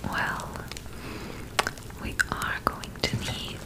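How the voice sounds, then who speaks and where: Young woman whispering very slowly. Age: young adult. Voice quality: breathy, unvoiced whisper. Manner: slow, gentle, soothing delivery as a friendly witch. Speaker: ASMR roleplay host. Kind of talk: ASMR whispered roleplay. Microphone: close mic.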